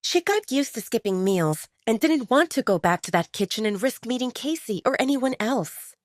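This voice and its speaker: A woman's voice: on the high side, expressive, moderate pace, no room sound, clean studio sound. A woman reading scripted narration as the storyteller of an audio drama.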